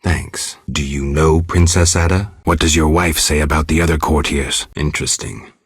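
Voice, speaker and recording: A man playing a gruff, laconic monster hunter for hire in a video game, speaking tersely with a dry, deadpan delivery and a gravelly rasp. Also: extremely low pitch, normal speed, dry recording. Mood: dry, reserved. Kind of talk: video game dialogue acting.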